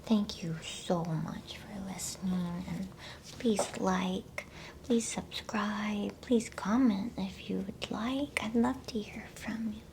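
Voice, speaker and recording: A woman whispering softly in a soothing, unhurried way, as an ASMR host reading bedtime stories and chatting to listeners. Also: medium pitch, fairly even intonation, background noise. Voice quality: breathy whisper.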